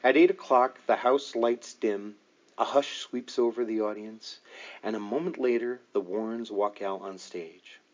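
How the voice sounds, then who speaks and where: A man's voice: lowish voice, a little slow, dull audio. A man narrating a nonfiction book as an audiobook reader, in a scripted reading style.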